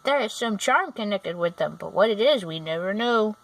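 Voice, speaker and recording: A woman's voice: mid-range voice, expressive, normal speed, recorded from a distance, lightly acted, low-quality recording. A woman voicing an earnest little Munchkin man in a storybook, telling what is known in an informative way. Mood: earnest warning.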